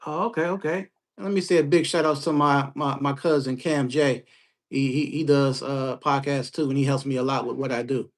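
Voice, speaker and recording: A man hosting a podcast, casually interviewing a guest with slangy, easygoing talk and frequent shout-outs. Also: mid-range voice, level tone, moderate pace. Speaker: podcast host.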